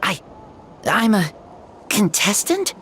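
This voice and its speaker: A woman's voice: medium pitch, very animated, slow, a bit bright, a little hiss or hum. A woman voicing an earnest, wide-eyed young boy, frail but eager, in a video game. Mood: wondering.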